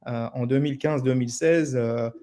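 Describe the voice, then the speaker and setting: A man's voice: mid-range voice, normal speed. A man in his thirties from Senegal, answering interview questions as a company director over a video call, hesitant with fillers. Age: 30s. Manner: hesitant, flat delivery with fillers.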